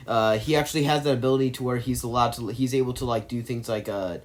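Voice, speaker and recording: A man's voice: mid-range voice, steady tone, quick pace. A man delivers an opinionated movie commentary in an ordinary mid-range voice. He argues emphatically and rambles, often backing up to restate his points.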